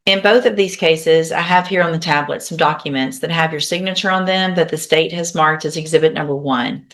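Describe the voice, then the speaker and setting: Low-pitched woman judge questioning methodically. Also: brisk. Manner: procedural, methodical. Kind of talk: formal courtroom plea questioning.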